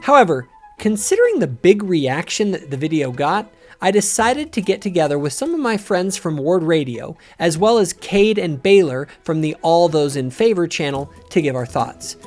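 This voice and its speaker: A man's voice: a little high, very animated, moderate pace, a little mellow. A man hosting a reaction show, moving between scripted introduction and casual chat with friends. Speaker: channel host.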